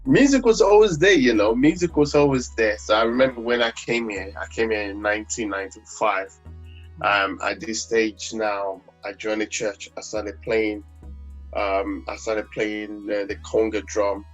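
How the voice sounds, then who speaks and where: Husky Nigerian man, low voice. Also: fairly even intonation, normal speed. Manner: rambling, many restarts and fillers. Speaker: gospel singer guest. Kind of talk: relaxed interview conversation. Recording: dull lo-fi audio with faint music.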